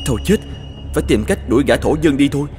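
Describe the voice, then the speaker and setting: A man voicing a wary, stubborn, desperate man guarding gold in an animated folktale. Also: mid-range voice, fast, faint music behind, natural voice. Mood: anxious, dismissive.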